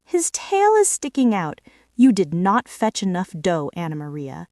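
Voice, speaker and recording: A woman's voice: on the low side, huge pitch swings, unhurried, a little mellow, no room sound, clean studio sound, distant mic, full character voice. Woman playing a pompous, fussy male rat. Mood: critical. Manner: pompous, wordy, formal.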